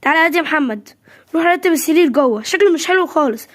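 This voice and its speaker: A woman's voice: high-pitched, lively intonation, racing. A woman voicing a bossy middle-aged mother with an Egyptian accent, handing out chores and scolding. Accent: Egyptian Arabic.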